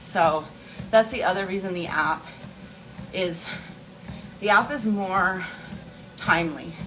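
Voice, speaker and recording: Woman chatting casually over clear background noise. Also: lowish voice, slow pace, fairly bright tone, poor audio. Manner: rambling, informal, explanatory; talking while walking on an inclined treadmill. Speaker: beauty and wellness livestream host. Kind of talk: casual chat answering viewer questions.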